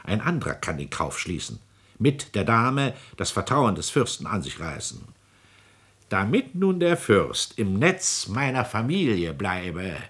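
A domineering, scheming nobleman in a classical radio drama. His voice is low and husky. He declaims with imperious, calculating authority, swinging widely in pitch. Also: fairly bright tone, heavily acted. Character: scheming, domineering aristocratic father and court minister.

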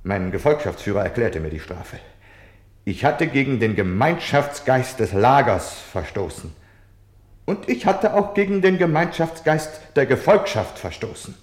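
An actor playing a defiant, self-justifying German man in a radio play, declaiming theatrically between hushed confiding and shouting. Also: mid-range voice, very animated, unhurried, echoey room. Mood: bitter, agitated.

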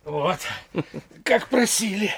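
A man's voice: high-pitched, animated, slow pace, slightly rough, heavily acted. A man playing a wary, coaxing manservant in an audio drama, folksy and familiar yet deferential. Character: wary, coaxing manservant urging his master to leave. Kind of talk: theatrical audio drama acting.

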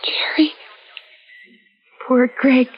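An actress playing a frightened, shaken young sister in peril in 1940s radio drama. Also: female voice, high voice, fairly even intonation, very slow, slightly rough, exaggerated voice. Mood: frightened, distraught. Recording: dull, lo-fi old radio recording.

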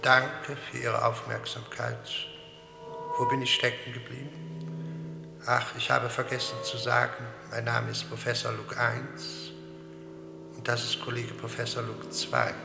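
An actor playing an absent-minded, self-important scientist who lectures pedantically in a German radio play. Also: male voice, mid-range voice, completely flat delivery, unhurried, creaky. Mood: absent-minded, polite. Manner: pompous, pedantic. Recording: echoey hall reverb, music behind.

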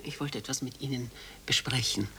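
A woman's voice: very deep voice, a little fast, a bit bright, slight background noise. An actress playing a proud, protective elderly mother in a German radio drama, formal and emphatic as she pleads for her son. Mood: hesitant, polite. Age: older.

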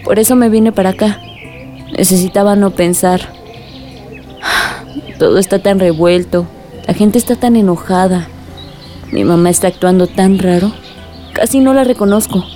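A woman's voice: mid-range voice, moderate pace, pauses often, warm tone, soft background music, lightly acted. An actress playing a troubled, caring young village woman in a radio drama, confiding and weary.

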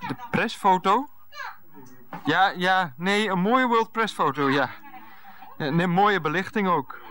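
A flustered grown son in a radio comedy, with a bright, forceful voice. He stammers, wheedles and turns indignant as he placates his mother over the phone. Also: high-pitched, expressive, slow pace, exaggerated voice. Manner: stammering, indignant, put-upon. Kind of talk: 1990s radio comedy acting.

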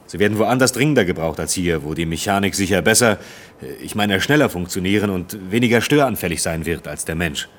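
An actor in a German radio drama playing a stiff, formal factory efficiency expert with a condescending manner. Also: male voice, low-pitched, expressive, brisk. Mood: matter-of-fact, formal. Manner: formal, condescending, matter-of-fact.

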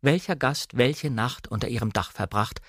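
Male narrator, standard German, medium pitch. Measured, precisely articulated literary storytelling, close and dry studio recording. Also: a bit bright, slightly rough, no room sound. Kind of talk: scripted literary narration, audiobook-like radio reading.

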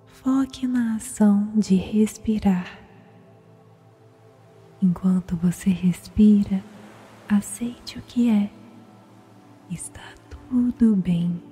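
A woman with a Brazilian accent softly whispers a guided sleep meditation in a slow, soothing, gentle manner. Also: mid-range voice. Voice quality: breathy, whispery. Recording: music behind the voice. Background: meditation music.

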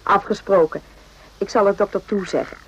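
An actress playing a playful, flirtatious, self-assured army doctor in a radio drama, with a light, teasing manner. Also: female voice, medium pitch, steady tone, normal speed, husky, close to an everyday voice. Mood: teasing, keen. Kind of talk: radio drama acting in Dutch. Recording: dull radio recording, faint hiss.

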